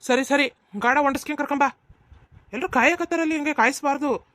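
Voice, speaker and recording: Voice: high-pitched, brisk, a bit bright, no room sound. A performer voicing an impatient middle-aged village father in a cartoon, with a North Karnataka Kannada accent. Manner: impatient, hurrying others. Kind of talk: cartoon voice acting.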